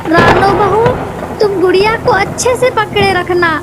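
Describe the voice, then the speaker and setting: A woman's voice: very high voice, fairly even intonation, a little slow, loud music behind the voice, pitched above the performer's own voice. A woman voicing an elderly mother-in-law bird in a children's cartoon story, fretful, practical and given to advising. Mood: worried. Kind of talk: Hindi children's moral story cartoon dialogue.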